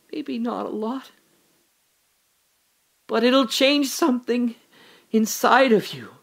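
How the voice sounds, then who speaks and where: Guy acting as guilty desperate man in a radio play, slow halting intense delivery, sharp voice. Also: very high-pitched, exaggerated voice. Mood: desperate pleading. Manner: halting, intense, swinging from hushed confession to anguished cries. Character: guilt-ridden trapped man, confessing and desperately pleading. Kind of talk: dramatic audio drama monologue.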